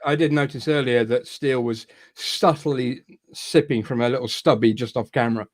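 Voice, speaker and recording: A Scottish man chatting as a guest on a livestream. His humor is dry and self-mocking, and his voice sits at an ordinary middle pitch. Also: steady tone, moderate pace, over a noisy crowd. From Scotland. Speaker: panel guest. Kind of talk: casual livestream chat.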